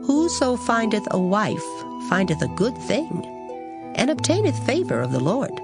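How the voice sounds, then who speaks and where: A woman giving an earnest, measured, dramatized reading of scripture. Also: mid-range voice, wildly swooping pitch. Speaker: scripture reader. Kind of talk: dramatized Bible audiobook reading. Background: plucked guitar music.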